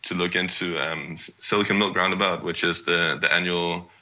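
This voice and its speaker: A young man from Denmark, a startup CEO, answering interview questions in a halting way and often restarting his phrases. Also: low voice, fairly even intonation, pushed and bright, lo-fi sound.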